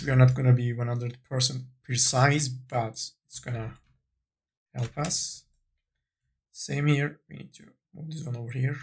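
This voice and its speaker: Male tutorial narrator, slow and gravelly, unscripted, thinking aloud step by step. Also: mid-range voice, darkish tone. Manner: tentative, thinking aloud while working. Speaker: 3D modeling tutorial instructor.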